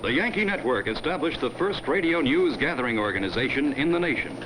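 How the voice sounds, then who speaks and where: A man's voice: mid-range voice, lively intonation, brisk, background noise. A man delivering formal scripted narration for a 1950s promotional film, recorded on a vintage soundtrack.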